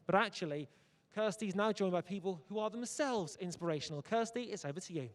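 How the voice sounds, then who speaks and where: An upbeat young man rattles off quick, hyped questions to fans at an outdoor fan zone. He talks fast and jokes with the people he meets. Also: high voice. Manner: upbeat, hyped, jokey with guests. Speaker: roving fan zone presenter. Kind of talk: energetic outdoor broadcast chat.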